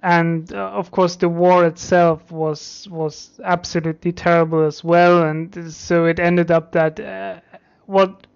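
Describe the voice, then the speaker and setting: Lowish woman doing an interview, thoughtful n a bit wry, hesitates, muffled audio. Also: level tone, measured pace, creaky, a little mellow, clear, resonant tone. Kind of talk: conversational interview.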